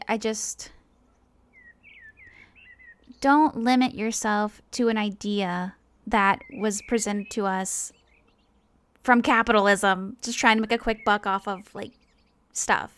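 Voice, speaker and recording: High-voiced woman, casual and rambling. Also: fairly even intonation, a little slow, fairly bright tone, smooth. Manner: informal, hesitant, self-interrupting, earnest. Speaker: online animator and video creator. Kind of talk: casual rambling personal monologue.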